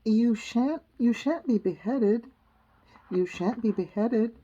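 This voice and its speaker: A woman's voice: on the high side, slow pace, warm tone, breathy edge, muffled recording, voice pushed up. A woman voicing a young storybook girl who is polite but bold and decided. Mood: defiant.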